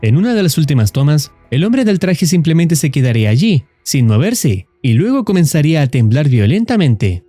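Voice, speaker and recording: A man's voice: fairly high pitch, sing-song, moderate pace, few pauses, mellow, no room sound. A man reading scripted narration as the narrator of a horror story recap video. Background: background music.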